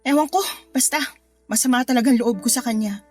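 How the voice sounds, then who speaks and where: A woman's voice: fairly high pitch, a little fast, a little mellow, faint music behind, close to an everyday voice. An actress playing a hurt, self-doubting housewife and mother in a radio drama, with a plaintive way of talking. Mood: sullen, hurt. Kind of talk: Tagalog radio drama acting.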